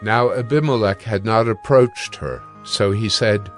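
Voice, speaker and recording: A man narrating scripture from a script in a measured, solemn manner. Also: lowish voice, faint music behind.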